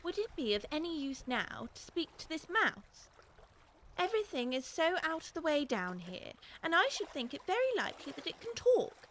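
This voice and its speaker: A woman's voice: high-pitched, big pitch swings, normal speed, a bit bright, a little hiss or hum. A woman playing a polite, curious little girl in an audiobook, earnest and musing aloud. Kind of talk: full-cast audiobook acting.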